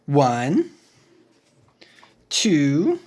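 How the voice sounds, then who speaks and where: A woman's voice: deep voice, wildly swooping pitch, very slow, a little mellow. A woman reading a children's picture book aloud in a playful way, with little interjections and sound effects.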